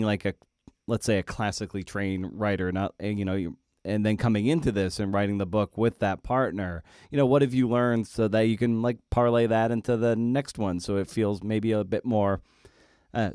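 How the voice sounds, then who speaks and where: A man hosting a casual interview, speaking in a halting way and repeating words as he forms his thoughts. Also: lowish voice, a little mellow, smooth, no echo, studio quality. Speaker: podcast host, interviewer.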